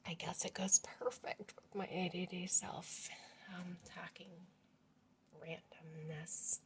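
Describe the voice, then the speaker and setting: A woman in her forties vlogging casually at home, speaking in a hushed, half-whispered voice and rambling as she loses her words and laughs. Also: on the low side, flat delivery, slow pace. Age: 40s. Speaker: family vlogger. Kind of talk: casual rambling vlog chat.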